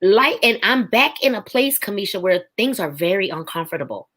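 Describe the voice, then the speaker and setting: A woman's voice: mid-range voice, sing-song, moderate pace, a bit bright. A woman in her forties giving a faith testimony as a podcast guest, emphatic, with a preaching rhythm and repeated phrases. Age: 40s.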